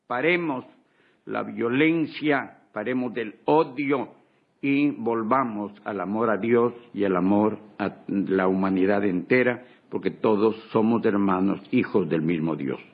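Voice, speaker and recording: A man's voice: on the low side, measured pace. A man from Ecuador, an ambassador, speaking fervently in a radio interview with repeated rhetorical emphasis. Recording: tinny phone line.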